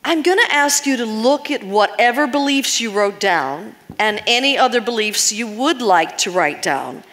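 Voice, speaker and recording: Older woman workshop leader, slightly high voice, earnest and probing, long hall reverb. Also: lively intonation, moderate pace, fairly bright tone. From United States. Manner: earnest, engaging the audience with questions. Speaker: seminar leader. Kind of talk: live workshop teaching. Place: large seminar hall.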